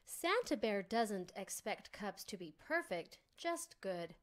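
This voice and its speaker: A man voicing a gentle, worried mother bear in a storybook reading, speaking softly with sighs. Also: sits high, very animated, slow, pitched above the performer's own voice, exaggerated voice. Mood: reassuring. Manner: soft, sighing.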